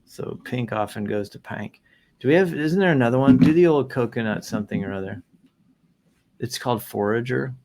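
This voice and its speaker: Male host, gravelly creak, mid-range pitch. Casual read-aloud and commentary, playful, rambling, big emphasis. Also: brisk, darkish tone, a little hiss or hum. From American South. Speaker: show host. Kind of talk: casual commentary while reading posts aloud.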